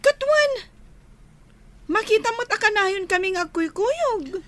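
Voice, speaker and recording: A woman's voice: very high-pitched, animated, takes it slowly, fairly bright tone, exaggerated voice. A woman playing a teasing, dismissive teenage schoolgirl in a village comedy radio drama.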